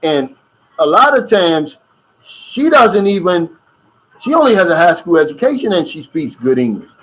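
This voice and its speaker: Middle-aged male channel host, loose chatty livestream delivery, pitch a touch high. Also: very animated, moderate pace, low-quality recording. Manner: loose, chatty, drawling with fillers and asides. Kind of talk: casual livestream chat reading viewer comments. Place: lives in the Philippines.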